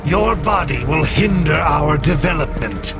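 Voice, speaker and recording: An actor voicing a coldly calculating artificial intelligence in a video game, measured, solemn and condescending. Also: male voice, a little high, animated. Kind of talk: dramatic video game cutscene acting. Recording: loud music under the voice.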